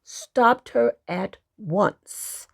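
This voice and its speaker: A woman narrating a fairy tale for children expressively, with dramatic lingering emphasis and hushed dips. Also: mid-range voice, very slow, vocal fry. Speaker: children's storyteller.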